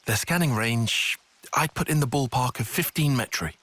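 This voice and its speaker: A man's voice: mid-range voice, normal speed, a bit bright, slight background noise, close to an everyday voice. A man as a cautious, precise young strategist. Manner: precise, analytical. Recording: music behind.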